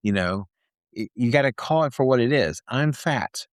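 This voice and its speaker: A man's voice: mid-range voice, expressive, moderate pace, no echo, studio quality. A man co-hosting a podcast, talking casually and at length, rambling a little and joking about himself. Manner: chatty, rambling, self-deprecating. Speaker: podcast co-host.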